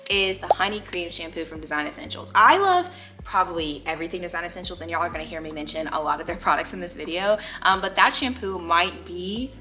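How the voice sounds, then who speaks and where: A woman's voice: lowish voice, lively intonation, quick pace, noisy background. A young American woman hosting a casual hair care tutorial, chatty and emphatic, addressing viewers like girlfriends. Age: young adult. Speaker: video host, natural hair care creator. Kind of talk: casual vlog tutorial talk.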